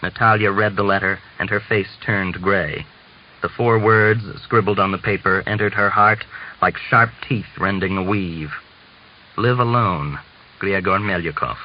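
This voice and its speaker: A man reading a literary novel aloud as scripted narration, in a measured manner. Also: fairly low pitch, steady tone. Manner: measured, acts out dialogue. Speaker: audiobook reader. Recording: muffled lo-fi audio with clear hiss.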